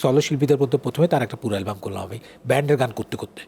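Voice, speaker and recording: A man's voice: mid-range voice, very fast, gravelly, mellow, a little hiss or hum. An older man with a Bangladeshi accent talks casually as an interview guest, explaining in an engaged, conversational way and checking that the listener follows. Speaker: guest, song lyricist and writer.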